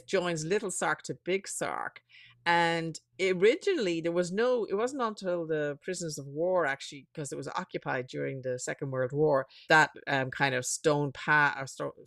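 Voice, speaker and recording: A woman's voice: low voice, no echo, studio quality. Irish woman, chatty storyteller. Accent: Irish, softened by years in America. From Ireland. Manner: lively storyteller, chatty, laughs often. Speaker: author and guest. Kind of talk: casual interview chat.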